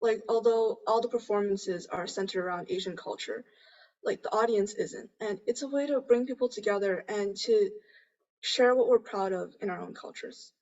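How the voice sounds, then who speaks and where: A woman's voice: medium pitch, moderate pace, darkish tone, dull audio. A teenage young woman whose first language is Chinese, speaking hesitantly and informally in a panel discussion with many fillers. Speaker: youth forum participant.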